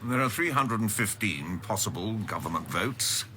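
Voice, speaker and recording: An actor playing a dry, grave senior civil servant speaking in clipped, precise radio drama dialogue. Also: male voice, lowish voice, animated, moderate pace, pushed and bright, slight background noise. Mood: measured warning.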